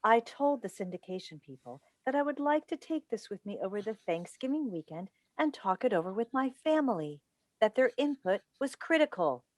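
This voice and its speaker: A woman's voice: a little high, sing-song, moderate pace, a little mellow, no echo. A woman playing a driven, needling mother and broadcast advice host in a staged play reading over a video call. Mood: wounded, needling. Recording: dry video call recording.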